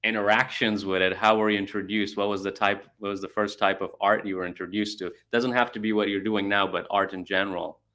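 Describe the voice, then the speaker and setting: A man's voice: fairly low pitch, level tone, quick pace, few pauses, sharp tone. A man hosting a conversational interview, informally rewording his questions as he goes. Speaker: interview host.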